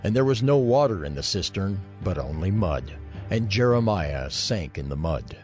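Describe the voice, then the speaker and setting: A man's voice: sits low, big pitch swings, normal speed, a little mellow, faint music behind. A man narrating scripture as part of a dramatized audio reading.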